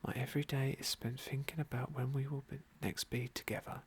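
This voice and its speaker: A man softly narrating a fantasy game as an ASMR roleplay, unhurried and with formal, genteel phrasing. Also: medium pitch, flat delivery, slightly rough. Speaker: host of an ASMR game playthrough.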